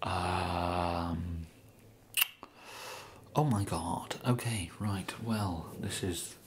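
A young man with an English accent plays a bumbling, apologetic kidnapper in an ASMR roleplay. His voice is low and he talks very slowly, mumbling and hesitating. There is some background noise. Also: level tone. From England. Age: young adult. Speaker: ASMR performer. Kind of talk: ASMR comedy roleplay monologue, soft-spoken.

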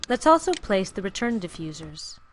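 Woman narrating a software tutorial. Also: medium pitch, normal speed, slight background noise. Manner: clear, even instructional delivery. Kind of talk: scripted screencast narration.

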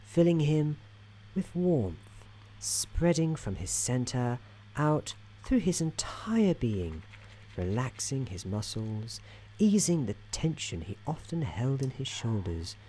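A man playing a soft-spoken, whimsical, gently patronising narrator in an ASMR roleplay, speaking in a measured, soothing way. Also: mid-range voice, very animated, slight background noise, low-quality recording. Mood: soothing, droll. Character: droll, soothing male storybook narrator in an office worker's head.

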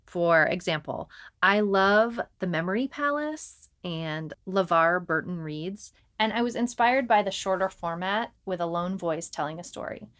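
A woman's voice: fairly low pitch, lively intonation, unhurried, fairly bright tone. Woman co-hosting a conversational podcast. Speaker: podcast co-host and writer.

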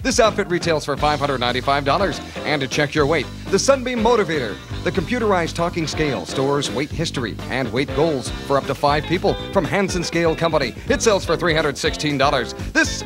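Male game show announcer, slick scripted prize copy, slightly high voice, music bed under. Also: big pitch swings, brisk, few pauses. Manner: polished promotional delivery.

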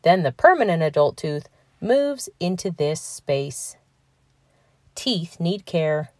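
A woman narrating a children's picture book aloud in a scripted read-aloud style, with clearly enunciated words and expressive emphasis. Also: fairly low pitch, slow, dry recording, clean studio sound.

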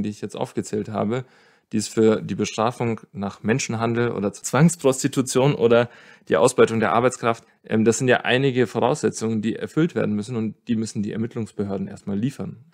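A man with a fairly low voice gives expert explanations in a podcast interview. He talks conversationally, working out his points as he goes. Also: a little mellow. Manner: conversational, thinking aloud as he explains. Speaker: lawyer, expert guest.

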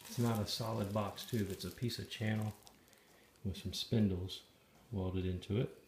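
A man casually narrating, step by step, the assembly of a trailer he is building, talking in a plain, matter-of-fact way with some halting pauses, filmed on a handheld phone in a garage. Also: fairly low pitch, fairly even intonation, creaky, background noise. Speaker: DIY video maker. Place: garage or shop and outdoors by a trailer. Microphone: handheld phone camera.

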